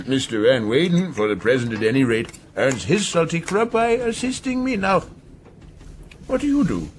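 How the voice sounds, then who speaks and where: An actor playing a domineering, mocking sea captain in a radio drama, sardonic and probing, with deliberate hums. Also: male voice, on the high side, sing-song, slight background noise. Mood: challenging, sardonic.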